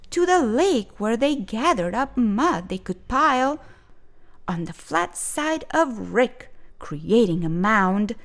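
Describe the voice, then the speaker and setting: Slow, expressive woman reading a rhyming story. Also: fairly high pitch. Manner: gentle, expressive, sing-song rhyming. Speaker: storytime reader. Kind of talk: reading a rhyming picture book aloud.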